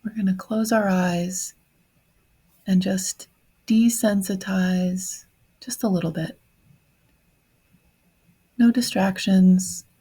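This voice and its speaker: Slow, husky woman guiding a meditation. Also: mid-range voice, steady tone, low-quality recording. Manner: gentle, unhurried phrasing with long pauses. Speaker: guided meditation host. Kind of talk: guided meditation on grief, slow and reflective.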